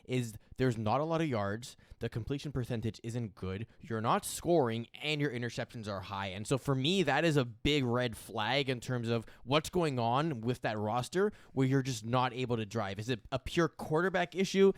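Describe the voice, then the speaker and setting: A man's voice: medium pitch, expressive, normal speed, hardly any pauses, dry recording, clean studio sound. Analytical man breaking down stats. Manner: analytical, poses rhetorical questions. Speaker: podcast co-host. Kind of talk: sports analysis chat.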